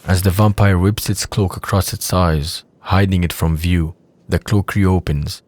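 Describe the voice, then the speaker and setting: A man's voice: low voice, fairly even intonation, unhurried, a little mellow, no room sound. A man reading scripted scene narration for an audio drama.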